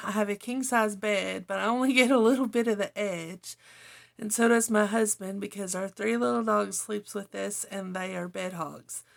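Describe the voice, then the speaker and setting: A middle-aged woman with a slightly hoarse voice, chatting casually to viewers as a craft channel host in a homely, rambling way. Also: medium pitch. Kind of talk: casual chat to viewers while journaling, partly reading own notes.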